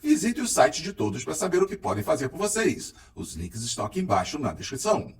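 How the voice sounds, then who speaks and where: A man opens his talk show with an energetic, announcer-style delivery. His pitch runs high and swings widely. He sounds a bit far from the microphone. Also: brisk, gravelly. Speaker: show host. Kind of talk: lively program host intro.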